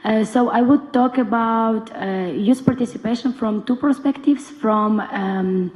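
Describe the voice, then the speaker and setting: A woman speaks in a reverberant hall with a soft, mellow, slightly high voice. She has a Ukrainian accent and addresses a conference panel in halting phrases, searching for her words as she goes. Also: muffled recording. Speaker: conference panelist, NGO board member. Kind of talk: semi-prepared public speech.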